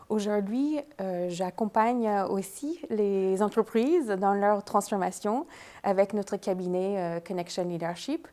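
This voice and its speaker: A woman's voice: medium pitch, dark tone. A woman from the United States with an American accent, a business consultant and coach speaking on a panel, hesitant and full of fillers. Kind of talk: panel discussion. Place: studio.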